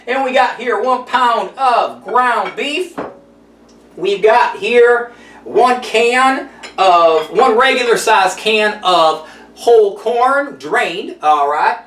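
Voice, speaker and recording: A man's voice: very high voice, lively intonation, slow, fairly bright tone, exaggerated voice. A man voicing a chatty, sassy old grandmother who hosts a cooking show, rambling in a folksy, gossipy way and a bit out of breath. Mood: chirpy. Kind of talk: comedic character monologue. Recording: roomy sound, mic at a distance.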